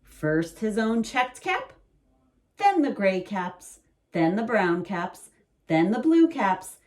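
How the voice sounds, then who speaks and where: A woman reading a picture book aloud as a bedtime story, in a slow, soothing, expressive way. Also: mid-range voice.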